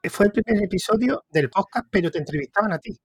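Un hombre de Córdoba con acento andaluz, anfitrión de un podcast, que entrevista en tono de charla y divaga con muchas dudas y reinicios. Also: male voice, sits high, lively intonation, quick pace, slightly rough, no echo, studio quality. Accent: Andalusian Spanish. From Córdoba, Spain. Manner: rambling, hesitant, restarts thoughts. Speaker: podcast host interviewer. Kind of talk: casual interview conversation.

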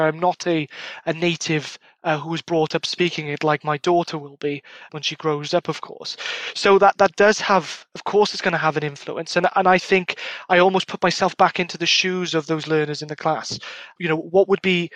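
A man's voice: fairly high pitch, fairly bright tone, no echo. A man being interviewed about his research as a former lecturer, speaking thoughtfully with frequent restarts and repeated words. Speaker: guest academic, former Welsh lecturer. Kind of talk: academic podcast interview.

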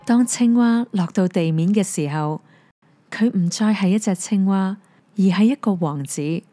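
A woman with a Hong Kong Cantonese accent gently narrating a fairy tale. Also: on the low side, no room sound. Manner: gentle, even storytelling. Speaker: storyteller. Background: soft piano music.

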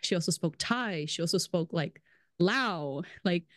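A woman's voice: on the low side, lively intonation, measured pace, a bit bright, no echo. Woman guest, loose chatty delivery peppered with "like," close and dry sound. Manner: chatty, fills speech with "like", laughs often. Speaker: brand strategist guest. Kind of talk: casual podcast chat.

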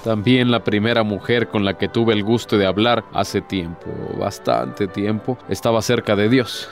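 An actor playing an ancient, courtly Devil in a radio drama, a sly and despairing tempter who speaks formally and insinuatingly. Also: male voice, on the low side, fairly even intonation, normal speed, gravelly. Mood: sly, seductive. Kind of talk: theatrical radio drama acting. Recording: music behind the voice.

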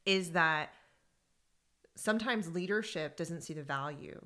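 A woman co-hosting a podcast, talking in a casual, animated way and laughing easily while she tells stories. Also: fairly low pitch, a little slow. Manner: animated storytelling with frequent laughs. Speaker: podcast co-host. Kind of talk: casual conversation about workplace groups.

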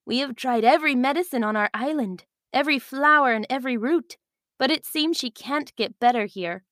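A woman's voice: high-pitched, brisk, fairly bright tone, dry recording, studio quality, voice pushed up. A woman voicing an earnest, emotional island village leader, a grown woman, in a children's storybook reading. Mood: pleading.

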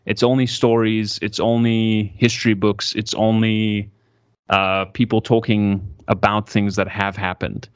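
Low-voiced reflective young man. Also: no echo, clean studio sound. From South Africa. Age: 20s. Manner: reflective, thoughtful, with frequent self-corrections. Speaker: interview guest. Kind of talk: casual conversational interview.

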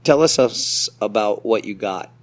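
A man's voice: fairly low pitch, expressive, a little slow. A man hosting a podcast with casual banter, joking and swearing, often restarting his questions. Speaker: podcast host.

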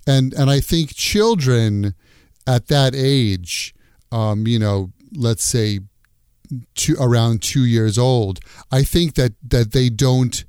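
A man with a smooth, resonant voice leads the chat, nodding along with frequent affirmations. The sound is dry and close, with no room around it. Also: mid-range voice, slow pace, darkish tone, no echo. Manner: attentive, many affirmations, repeats words. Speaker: host, teacher. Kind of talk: interview conversation.

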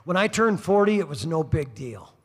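Older preacher man with a high voice. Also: big pitch swings, moderate pace, slightly rough, long reverb tail. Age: 60s. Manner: preaching with frequent "praise God" interjections; rising to fervent exhortation. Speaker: pastor. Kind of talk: sermon. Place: church service.

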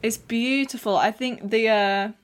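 A young woman hosting a podcast interview, chatty and encouraging, reacting with warm enthusiasm. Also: fairly high pitch, fairly even intonation, measured pace. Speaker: podcast host.

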